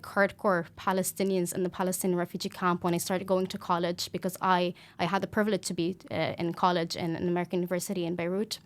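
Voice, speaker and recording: A woman in her twenties from Lebanon, telling her story as a podcast guest in an earnest, hesitant way full of ums and restarts. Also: lowish voice. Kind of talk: personal interview talk.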